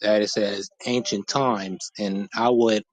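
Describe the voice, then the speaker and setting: A man reading scripture slowly and pressing his debate opponent with repeated questions. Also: on the low side, fairly even intonation. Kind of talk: heated debate.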